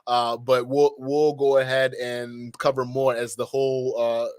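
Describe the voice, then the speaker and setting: A young man from Nigeria hosting a live stream, giving an animated, preachy monologue with slangy asides. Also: medium pitch, measured pace, clear, resonant tone, no room sound.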